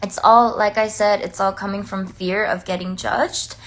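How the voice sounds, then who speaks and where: Woman, mid-pitched, chatty livestream talk, heartfelt, lots of "like.". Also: fairly even intonation, moderate pace, fairly bright tone, poor audio. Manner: chatty, heartfelt, many "like" fillers. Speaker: singer and dancer on a livestream. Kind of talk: casual livestream chat.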